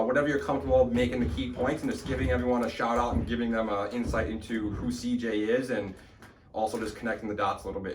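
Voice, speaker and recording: A man's voice: medium pitch, reverberant, distant mic, slight background noise. Casting note: rambling young man hosting a podcast. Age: 20s. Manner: energetic, rambling, swears casually. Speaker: podcast host. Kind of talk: casual podcast chat. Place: small home studio.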